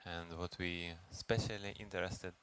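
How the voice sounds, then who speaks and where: A man leads a guided meditation as a teacher, speaking gently and unhurriedly with long pauses. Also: low-pitched, steady tone. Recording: noisy recording with ambient background sound.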